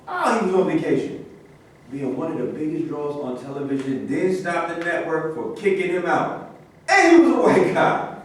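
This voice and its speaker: A man's voice: a little high, close to an everyday voice. A man acting a wary, cynical famous athlete with a grumbling, opinionated manner in a staged play reading. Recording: very echoey room, distant mic, faint noise.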